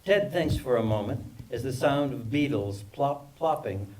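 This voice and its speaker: A man's voice: mid-range voice, expressive, measured pace, a little mellow. Distant-miked man reading stage directions. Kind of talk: scripted narration at a table read. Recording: distant microphone in live reading.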